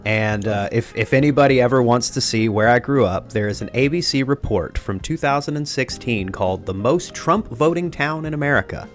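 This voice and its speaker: An earnest young man from rural Texas, speaking in a middle-range voice with open feeling. He hosts a relaxed conversation, and a faint bed of music sits under his voice. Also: medium pitch, fairly quick, few pauses. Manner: earnest, emotional, talks with his hands. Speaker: podcast host. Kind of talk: casual conversation.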